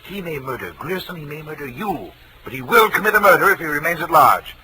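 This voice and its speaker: Actor as a grave, formal 1940s radio doctor. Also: male voice, fairly high pitch, lively intonation, a little fast, crowd noise, close to an everyday voice. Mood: grave, urgent. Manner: formal, emphatic, dramatic. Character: grave, urgent, evasive asylum doctor, adult man. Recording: noisy vintage radio recording.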